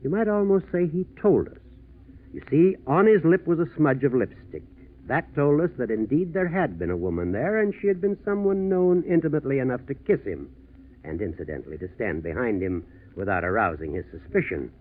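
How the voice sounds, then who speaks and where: An American actor playing a methodical, shrewd district attorney in a 1940s radio drama, probing in a deceptively casual way, on a tinny old recording. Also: male voice, mid-range voice, big pitch swings, brisk, slight background noise, lightly acted. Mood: confident, explanatory.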